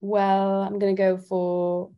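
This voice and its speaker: A woman's voice: medium pitch, completely flat delivery, slow, smooth, muffled recording. A woman from Italy chatting casually and a little giggly as an interview guest, feeling her way through her phrases. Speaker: interview guest, singer-songwriter.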